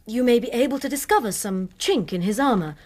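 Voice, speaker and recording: A woman's voice: fairly high pitch, expressive, a little fast, a little mellow. An actress with an upper-class English accent playing a proud young Victorian noblewoman in a radio drama, formal, haughty and defiant. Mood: earnest, determined. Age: young adult. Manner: formal, haughty, clipped indignation.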